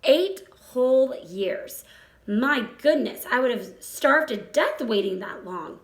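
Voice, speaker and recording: A woman's voice: on the high side, lively intonation, slow. A woman voicing a wise, encouraging female spider in a storybook, patient and instructive. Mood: consoling, matter-of-fact. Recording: roomy echo.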